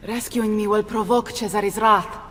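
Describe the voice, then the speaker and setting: A woman playing a proud, sharp-tongued Italian noblewoman in a video game, with an Italian accent. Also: mid-range voice, steady tone, normal speed, big-room sound, a little hiss or hum, natural voice. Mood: wry, wary. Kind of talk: video game cutscene acting.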